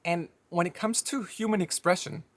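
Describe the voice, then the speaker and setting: Man with danish accent, reflective talk, slightly high voice, dry close sound. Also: moderate pace, no room sound. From Denmark. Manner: reflective, conversational, mildly opinionated. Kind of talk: personal monologue.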